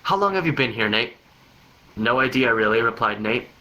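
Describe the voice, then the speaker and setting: A man's voice: medium pitch, lively intonation, moderate pace, bright, forceful tone, poor audio. A man reading a story aloud unrehearsed, often stumbling and repeating words. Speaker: reader of a long joke story.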